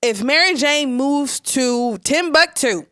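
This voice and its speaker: A woman's voice: sits high, expressive, slow pace, pushed and bright, dry recording, clean studio sound. A woman co-hosting a podcast, insistent and pressing rhetorical questions while holding the floor. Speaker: podcast co-host. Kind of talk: casual podcast discussion.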